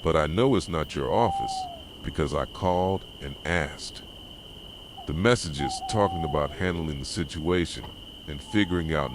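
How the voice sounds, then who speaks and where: A man's voice: rumbling low, noisy background, exaggerated voice. A man playing a jealous, obsessive, heartbroken boyfriend in an intense, accusing ASMR roleplay addressed to the listener. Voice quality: soft voice. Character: jealous, obsessive, heartbroken yandere boyfriend confronting his partner.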